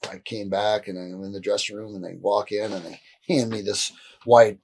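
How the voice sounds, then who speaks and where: Husky middle-aged man telling stories. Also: lowish voice, normal speed. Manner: rambling, animated, frequent restarts. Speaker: interview guest. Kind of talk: casual storytelling in a shoot interview. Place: indoor room, seated on a leather couch.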